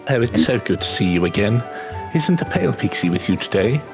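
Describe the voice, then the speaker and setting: A man's voice: lowish voice, sing-song, brisk, gravelly. A man voicing a kind, earnest young boy in a video game, speaking simply and sincerely. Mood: earnest, grateful. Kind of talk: acted reading of video game dialogue. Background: game music.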